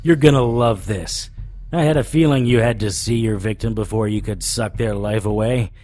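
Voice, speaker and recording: An actor playing a wry, hard-boiled occult investigator, narrating and speaking in a scripted audio drama. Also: male voice, lowish voice, moderate pace, a little mellow, soft background music, natural voice. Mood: cocky, taunting. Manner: wry, sardonic.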